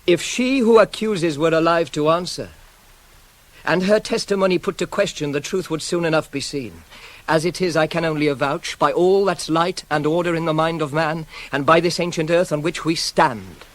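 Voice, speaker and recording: An actor playing a proud, self-controlled young prince wronged by his father, in a formal, measured, declamatory classical tragedy style for radio. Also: on the high side, natural voice, rough recording. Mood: solemn, pleading.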